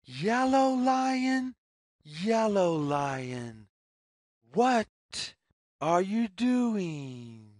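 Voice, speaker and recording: A storyteller reading a simple children's story aloud slowly and deliberately, phrase by phrase, in a sing-song way. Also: mid-range voice, very animated, darkish tone, no echo, studio quality.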